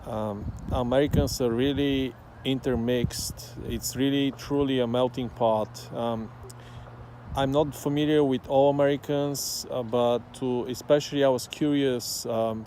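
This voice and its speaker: A man from Bulgaria, whose first language is Bulgarian, talks slowly and thoughtfully, filling his pauses with "um". His voice is mellow and dark, at a middling pitch. He is recorded outdoors, with faint traffic behind him. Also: fairly even intonation. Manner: slow, thoughtful, many "um" pauses. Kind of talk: casual talk to camera.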